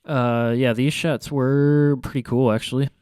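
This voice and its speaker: A man's voice: mid-range voice, fairly even intonation, measured pace, a little mellow, clean tone, no echo, clean studio sound. A young man with a stuffy, congested nose, casually reviewing his photos in a rambling, hesitant way full of fillers and restarts. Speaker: photography vlogger. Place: small room at home by a window.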